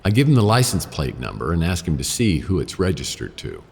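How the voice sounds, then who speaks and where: A man's voice: deep voice, very animated, fairly quick, vocal fry, a little mellow, big-room sound, heavily acted. An actor playing a wry, hard-boiled private investigator who narrates his case in a laconic noir radio drama style. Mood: wry, watchful.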